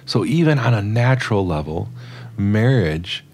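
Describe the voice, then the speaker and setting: A man's voice: lowish voice, expressive, measured pace, darkish tone, slightly rough. A man co-hosting a podcast, discussing religion reflectively and explaining his points, often by building up lists. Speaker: podcast co-host.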